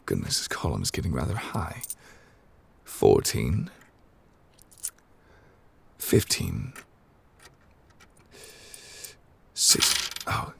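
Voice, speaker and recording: A man's voice: a bit bright, exaggerated voice. A man voicing a courteous, absent-minded ancient god in human form for an ASMR roleplay, speaking formally and wordily with polite apologies. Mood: distracted, apologetic. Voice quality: very low, creaky. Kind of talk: ASMR character roleplay, improvised.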